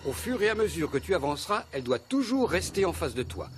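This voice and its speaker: An actor voicing a firm, instructive guide in a dubbed cartoon. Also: male voice, sits high, very animated, quick pace. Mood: firm, instructing. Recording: cartoon soundtrack with music behind.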